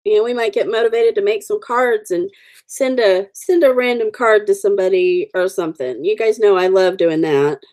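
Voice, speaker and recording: A woman's voice: mid-range voice, normal speed, a little mellow. A woman with the sniffles, chatting casually with viewers while coloring stamped cards on a livestream, greeting people by name. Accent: Oklahoma. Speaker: livestream host.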